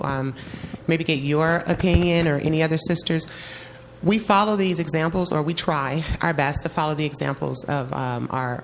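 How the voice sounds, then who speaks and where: A woman's voice: sits low, slight background noise, poor audio. A woman audience member making an informal, opinionated comment at a public forum, emphatic and conversational.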